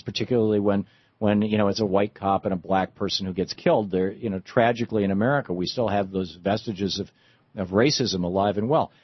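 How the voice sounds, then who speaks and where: A man's voice: on the low side, slightly rough, no echo. A man hosting a call-in radio talk show, agreeing with callers and thinking aloud with restarts. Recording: muffled radio broadcast.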